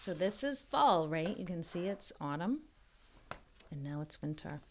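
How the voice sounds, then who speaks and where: A woman reading a picture book aloud to young children as a tutor, expressive and pausing to prompt the listener with questions. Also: lowish voice, slight background noise.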